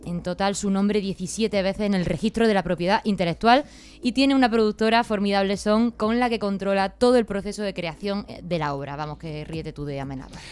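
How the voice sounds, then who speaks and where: A woman radio co-host with a Castilian Spanish accent reads out a prepared introduction. Her pitch sits in the middle for a woman, and the delivery is scripted presenting. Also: brisk, a little mellow, clean tone.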